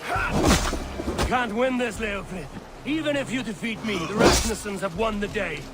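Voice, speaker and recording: A stern Viking warrior leader in a video game, with a slightly high-set male voice. He speaks gravely and deliberately, with command, over prominent dramatic music. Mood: defiant. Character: resolute adult Viking warrior leader, stern but honourable.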